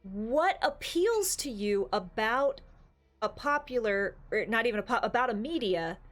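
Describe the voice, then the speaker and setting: A woman co-hosting a casual, opinionated discussion, talking at length emphatically with rhetorical questions. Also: mid-range voice. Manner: talkative, emphatic, builds long explanations with rhetorical questions. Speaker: podcast co-host.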